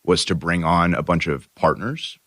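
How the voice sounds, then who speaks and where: A man's voice: deep voice, moderate pace, a bit bright, no echo, studio quality. A young man, an MBA student co-hosting a podcast, talking in a loose, rambling way full of "you know" and "like". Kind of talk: casual podcast chat.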